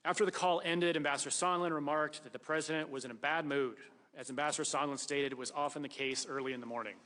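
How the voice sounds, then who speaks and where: Bright, higher-pitched man testifying in echoing room. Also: fairly even intonation, fast talker. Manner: formal, precise. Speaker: witness. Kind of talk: prepared testimony read aloud. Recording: reverberant hearing room.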